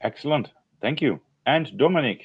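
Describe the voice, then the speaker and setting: A man's voice: medium pitch, lively intonation, moderate pace, slightly rough. Older man hosting, slightly dull audio. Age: 60s. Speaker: panel host. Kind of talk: moderating an online expert panel. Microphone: video call. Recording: dull video-call audio.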